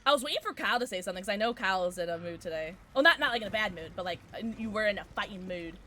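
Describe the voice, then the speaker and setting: A woman streamer with a low alto voice, chatting casually with her viewers while she plays, sarcastic and quick to break into squeals and laughs. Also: lively intonation, bright, forceful tone, slight background noise. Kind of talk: casual livestream chat while gaming.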